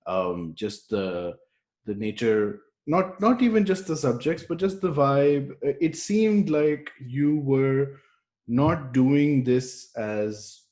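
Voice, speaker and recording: A man's voice: mid-range voice, darkish tone. A man hosting a podcast over a video call, asking thoughtful, searching questions in mixed English and Urdu. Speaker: podcast host. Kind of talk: casual video-call interview. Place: room at home, indoors. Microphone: headset on a video call.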